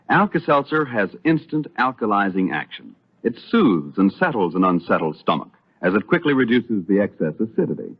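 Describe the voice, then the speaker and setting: A man's voice: lowish voice, husky. An American man announcing and reading ads on mid-century radio, with a polished, emphatic sales delivery. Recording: dull, lo-fi vintage radio recording.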